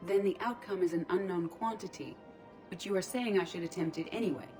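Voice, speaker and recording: A woman's voice: on the low side, a little fast, mellow, lightly acted. A woman playing a curious, literal female artificial intelligence in a video game, speaking in a formal, precise manner. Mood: curious, analytical. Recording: background music.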